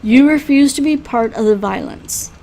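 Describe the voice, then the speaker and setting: A woman plays a grave male healer in a visual novel, stern and bitter yet caring. The delivery is measured and earnest. Faint noise sits behind the voice. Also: on the high side, creaky, warm tone. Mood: reassuring. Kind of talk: visual novel read aloud in character.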